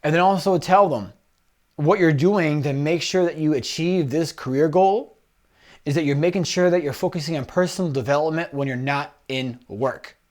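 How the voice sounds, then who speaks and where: A man coaching someone one-on-one for a job interview, giving firm, repetitive instructions over a video call. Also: a little high. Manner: directive, repeats points, quick asides. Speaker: career coach.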